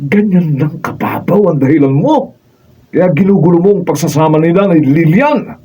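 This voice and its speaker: A Filipino man acting a level-headed, reproachful man with a husky voice in a radio drama. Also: on the high side, moderate pace, dark tone.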